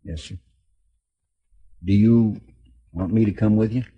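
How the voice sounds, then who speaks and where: An actor playing a timid, loyal American deputy in a 1950s radio western, hesitant and deferential. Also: male voice, fairly low pitch, measured pace, slightly rough, highs cut off. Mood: anxious, dutiful.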